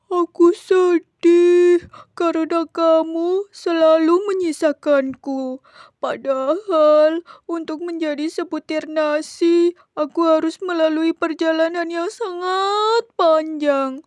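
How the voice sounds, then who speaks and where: A woman's voice: extremely high pitch, fairly even intonation, takes it slowly, a little mellow, no room sound, pitched above the performer's own voice. A woman voicing a sad talking grain of rice in a children's story, tearful and earnest as it explains itself. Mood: tearful, hurt.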